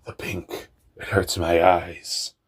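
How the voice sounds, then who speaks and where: A young man with a very deep voice telling a personal story in a rambling, candid way, often laughing at himself and swearing. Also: flat delivery, slow, breathy edge. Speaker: vlogger.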